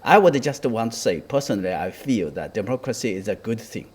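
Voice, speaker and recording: Low-pitched man giving commentary on a news panel. His delivery is halting, full of restarts and fillers, with each point arriving in a measured burst. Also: lively intonation, a little mellow, breathy edge.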